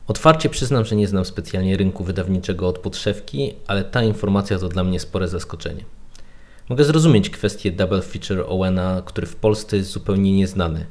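A man's voice: low-pitched, a little fast, darkish tone. A man speaks as a podcast guest. He delivers his opinion as prepared commentary.

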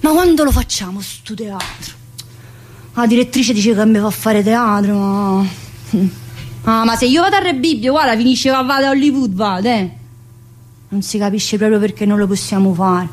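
Stage monologue: actress as chatty woman inmate, rather high voice, southern Italian accent, colloquial, teasing yet wounded. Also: darkish tone, lightly acted. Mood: sulky. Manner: rambling, colloquial, full of tag questions. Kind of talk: theatrical monologue in colloquial dialect-tinged speech.

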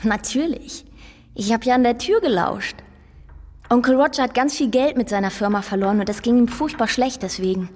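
A woman's voice: on the high side, fairly quick, darkish tone, in a large hall, natural voice. A female performer playing a precocious, nosy little girl detective in a German radio crime drama, speaking bluntly and without sentiment. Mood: blunt, secretive. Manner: blunt, matter-of-fact, unsentimental.

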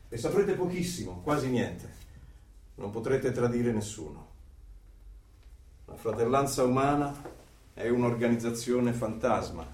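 An actor in a radio drama playing a grave leader of a secret brotherhood, with a solemn, commanding, oratorical delivery. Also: male voice, medium pitch, darkish tone, close to an everyday voice. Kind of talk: Italian radio drama acting. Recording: echoey, distant mic, faint noise.

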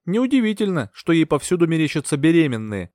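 A man playing a calm, cold doctor. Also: fairly high pitch, brisk, no echo, clean studio sound, voice pushed up. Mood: cool. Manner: calm, smooth, condescending. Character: calm, cold-mannered male doctor, sly.

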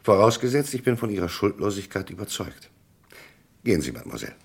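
Very low-voiced, probing police commissioner. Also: male voice, sing-song, quick pace, vocal fry, heavy background noise. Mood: commanding, accusing. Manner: formal, probing, commanding. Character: shrewd male police commissioner, courteous but relentless interrogator. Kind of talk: German radio crime drama acting.

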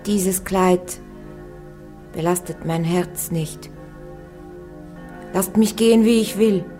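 A woman's voice: lowish voice, takes it slowly, dark tone, faint music behind. An actress playing a young peasant woman on trial before churchmen, answering them stubbornly and plainly. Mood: defiant. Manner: stubborn, plain-spoken and pleading. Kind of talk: radio play acting.

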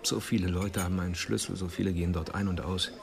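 An actor playing a matter-of-fact police investigator in a German radio crime drama, reporting in a conversational way. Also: male voice, low-pitched, level tone, fast, vocal fry, crowd noise.

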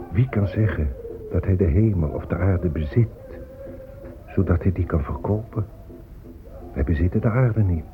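Deep-voiced, dignified Native elder. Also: male voice. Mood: measured dignity. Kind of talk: solemn radio drama oration. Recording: muffled phone-like old radio recording. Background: music.

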